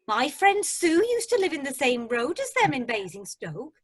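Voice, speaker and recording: Woman playing nosy chirpy lady passenger in an amateur radio play, really high voice, lively up and down. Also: moderate pace. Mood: gossipy, amused. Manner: gossipy, chirpy. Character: chatty, nosy, gossiping female cruise passenger. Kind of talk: amateur radio drama acting.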